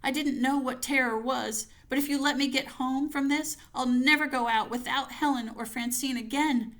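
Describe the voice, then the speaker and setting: A woman's voice: mid-range voice, lively intonation, a little slow, fairly bright tone, pitched above the performer's own voice. A woman voicing a terrified woman fleeing home at night, pleading frantically and breathlessly to herself. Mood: panicked pleading.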